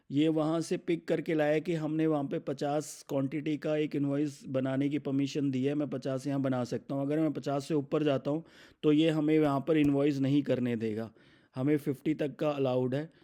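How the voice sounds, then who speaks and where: An Indian man presenting a software demo, narrating step by step in an instructional way and mixing in English terms. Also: medium pitch.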